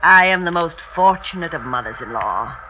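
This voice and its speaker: An actress playing a doting but probing older French mother-in-law, speaking deliberately in 1950s radio drama style. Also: low voice, expressive. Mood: fondly approving. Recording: muffled vintage radio recording, faint hiss.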